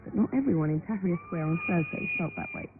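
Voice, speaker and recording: A woman's voice: very high-pitched, huge pitch swings, quick pace. Female correspondent through noisy, tinny shortwave. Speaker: foreign news correspondent. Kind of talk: scripted radio report narration. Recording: tinny shortwave radio, clear static.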